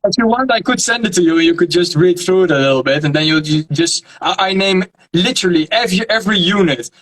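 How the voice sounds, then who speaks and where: A young Dutch man speaking English as a second language, a guest in a casual interview, often pausing to search for words. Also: high voice, expressive, fairly bright tone, poor audio. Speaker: guest, history video creator. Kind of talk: casual video-call interview.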